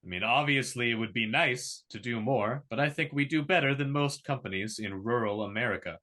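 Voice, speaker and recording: A man's voice: mid-range voice, fairly quick, pushed and bright, lightly acted. A man reading the part of an awkward, privileged young heir in a drama table read, talking in a casual, rambling way. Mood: defensive.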